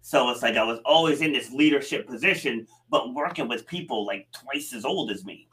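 Bright-voiced man in his forties telling stories. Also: medium pitch, brisk. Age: 40s. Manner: storytelling, animated, laughs easily. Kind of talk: casual conversation.

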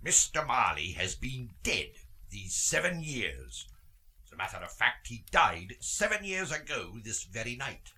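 A cold old miser in a radio play, piercing edge, hugely dramatic swoops, sarcastic and curt. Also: male voice, medium pitch, wildly swooping pitch, unhurried, bright, forceful tone, husky, exaggerated voice. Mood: dry, callous. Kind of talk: theatrical radio play acting.

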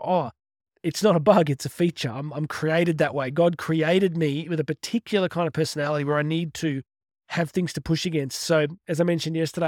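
A man, giving an energetic, conversational motivational podcast talk on his own and often restarting his words. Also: a little high, dry recording, clean studio sound. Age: 50s. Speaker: motivational speaker. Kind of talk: solo motivational podcast monologue.

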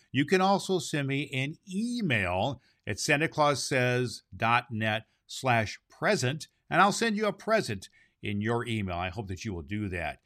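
A man playing a jolly Santa Claus, reading and hosting for children with theatrical, drawn-out phrasing. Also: mid-range voice, a bit bright, no room sound, clean studio sound. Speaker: host and story reader.

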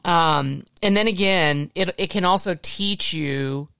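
Slightly gravelly American podcast host. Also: mid-range voice, slow pace, bright, forceful tone, dry recording. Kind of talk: casual discussion from notes.

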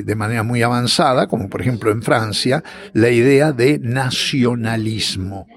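A middle-aged man, answering interview questions as a historian in a lecturing way, often pausing on filler words. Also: medium pitch, creaky. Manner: lecturing, with frequent "este" and "eh" fillers and restarts.

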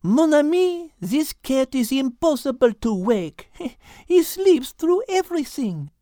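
A woman's voice: sits high, big pitch swings, slow, dark tone, no room sound, clean studio sound, exaggerated voice. A woman voicing a clever, elegant female cat with a French accent in an animal story podcast. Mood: amused.

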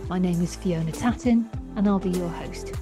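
A woman's voice: lowish voice, moderate pace, over music. A woman hosting a podcast, reading a scripted introduction and putting questions to a guest. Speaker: podcast host.

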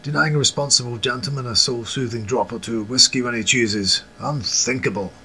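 An actor playing a genteel, proud yet modest Scottish football coach in a period audio drama, speaking with formal old-fashioned courtesy. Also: male voice, medium pitch, brisk, pushed and bright, a little hiss or hum. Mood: wry, courteous.

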